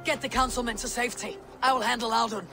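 An actress playing a stern, determined young Viking warrior woman in a video game, with a husky voice. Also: on the high side, steady tone, fast talker, over music. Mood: urgent anger. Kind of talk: video game dialogue acting.

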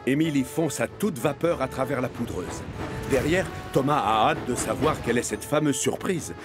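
A man narrating a children's cartoon as an expressive storyteller. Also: mid-range voice, normal speed, over loud music.

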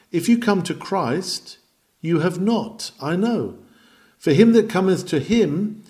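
Animated, higher-pitched man preaching a sermon. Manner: emphatic, exhorting, old-fashioned oratory. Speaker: reader of a historical sermon. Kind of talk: dramatic sermon reading.

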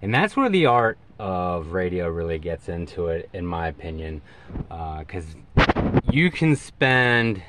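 An American man in his forties explaining things in a casual, informal vlog style, with emphatic, halting phrasing. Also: on the low side, a bit bright, slight background noise. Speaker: radio hobbyist video host. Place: inside a parked SUV.

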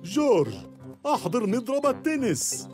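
A man's voice: very high-pitched, very animated, normal speed, over music. An actor voicing a cheerful, easygoing father pig in a dubbed cartoon, jolly and reassuring.

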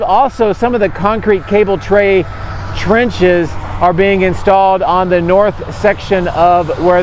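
High-voiced man talking over outdoor noise. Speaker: drone vlogger. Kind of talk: explanatory update to camera. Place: outdoors beside large industrial building site. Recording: clear outdoor site and vehicle noise. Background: vehicles, outdoor ambience.

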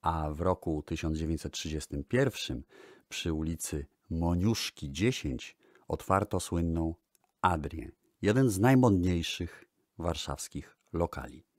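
A man hosting a radio-style music history talk in a measured storytelling manner. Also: sits low, expressive, no echo, clean studio sound. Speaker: host.

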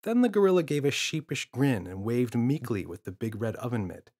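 A man narrating a children's story from a script, as an expressive storyteller. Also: mid-range voice, fairly quick. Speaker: author and audiobook narrator.

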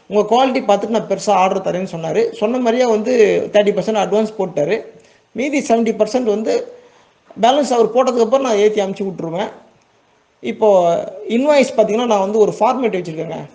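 Fast, high-voiced Tamil Nadu man explaining. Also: gravelly, dark tone. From Tamil Nadu, India. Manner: conversational, checks in with listeners, repeats words for emphasis. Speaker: export business owner giving a tutorial. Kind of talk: informal step-by-step tutorial narration.

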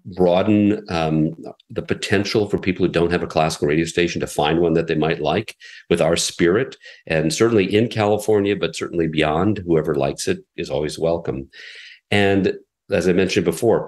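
A middle-aged American man, a radio executive, answering interview questions in a measured, explanatory way with frequent fillers. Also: deep voice, darkish tone. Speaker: classical radio station president, guest.

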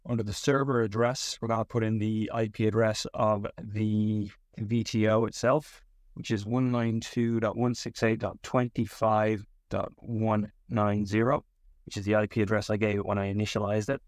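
A man giving a step-by-step software tutorial as a tech support instructor. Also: lowish voice, level tone, moderate pace, no echo, clean studio sound.

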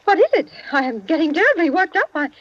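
An actress playing a nervous, flustered society lady in a 1940s radio drama, excitable and exclamatory. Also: very high-pitched, fast, dry recording. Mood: agitated. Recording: muffled vintage 1940s radio recording.

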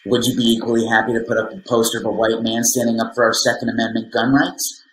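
A man's voice: mid-range voice, little pitch movement, fast talker, a bit bright, lightly acted. Indignant man in a roomy call, acted. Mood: challenging. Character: argumentative, indignant conservative male school parent. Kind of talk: scripted dramatization on video call. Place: small room, video call. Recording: roomy laptop call, light noise.

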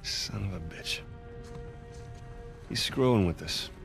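An actor playing a restless, sardonic adventurer in a cinematic video game, speaking in a wry way. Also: male voice, fairly low pitch, slow pace, creaky, fairly bright tone, exaggerated voice. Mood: frustrated. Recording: music bed behind dialogue.

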